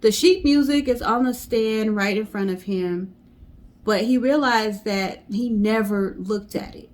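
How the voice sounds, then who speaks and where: Female storytime co-host, unhurried casual narration, mid-range voice. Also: mid-range voice, a little mellow, poor audio.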